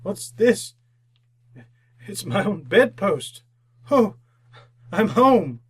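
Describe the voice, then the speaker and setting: An actor playing an old repentant miser in a radio drama, theatrical and fervent. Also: male voice, high-pitched, sing-song, extremely slow, slightly rough, exaggerated voice. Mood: joyful wonder. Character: old repentant miser, fearful then overjoyed.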